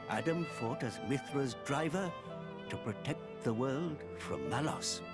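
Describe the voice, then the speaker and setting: A wise old grandfather titan from a fantasy game, voiced by an actor, grave and kindly. He speaks slowly and in a stately way, under loud string music. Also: medium pitch, lightly acted. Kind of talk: video game cutscene voice acting.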